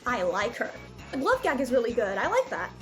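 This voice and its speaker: A woman's voice: a little high, wildly swooping pitch, brisk. A woman giving conversational, opinionated commentary as a video reviewer, openly exasperated as she reacts to what she watches. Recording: voice-over with background music. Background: music.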